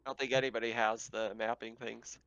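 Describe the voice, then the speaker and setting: A man's voice: medium pitch, level tone, fairly quick, fairly bright tone, clean tone, dry recording, dull audio. A man running a tabletop game as game master, describing scenes casually and a little hesitantly as he pieces them together.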